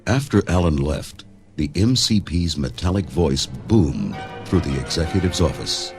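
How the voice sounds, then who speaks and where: A man's voice: extremely low pitch, animated, a little slow, over music. A man narrating a read-along adventure story in a dramatic, scripted style.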